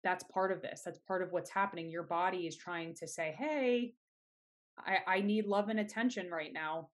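Soothing woman guest, lowish voice. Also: normal speed. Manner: earnest, warm encouragement about self-love. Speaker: guest health coach. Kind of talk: casual podcast interview.

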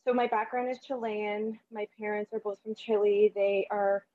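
A woman's voice: mid-range voice, fairly even intonation, warm tone, dull audio. A woman who grew up in Canada and first spoke Spanish, talking earnestly and reflectively in a casual group chat. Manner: earnest, reflective, with pauses and fillers. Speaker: panel speaker.

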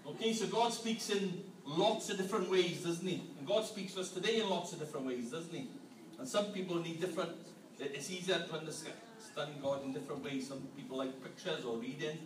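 A man's voice: on the high side, brisk, recorded from a distance. A man leading an interactive family sermon in a church hall, playfully quizzing the congregation. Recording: echoey hall, mic from afar, room noise.

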